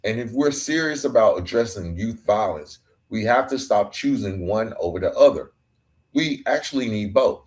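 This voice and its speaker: A man's voice: on the low side, animated, moderate pace, a little mellow. A man hosting a podcast, delivering an earnest reflective monologue that builds through emphatic repeated phrases. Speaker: podcast host.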